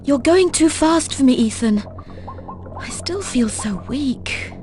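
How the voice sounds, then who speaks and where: A woman's voice: high-pitched, lively intonation, takes it slowly. A woman playing a weakened but wry rescued secret agent in a video game. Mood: weak, anxious. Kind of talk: video game cutscene acting. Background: music.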